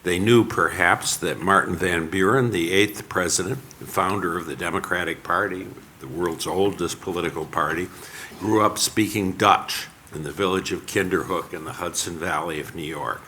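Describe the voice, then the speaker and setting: An older man lectures from a podium in a very low, forceful voice. He keeps to a measured pace, as if reading from a prepared text. A faint hum of the room sits behind him. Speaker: panel speaker. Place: lecture hall.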